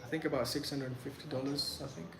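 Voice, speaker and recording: A man's voice: medium pitch, fairly even intonation, quick pace, a crowd in the background. A young man whose first language is Pashto, hosting a casual live Q&A from his phone and answering chat questions in a loose, rambling way full of "like" and restarts. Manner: loose and chatty, lots of "like" and restarts. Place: in Medellín, Colombia. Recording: echoey room with clear background noise.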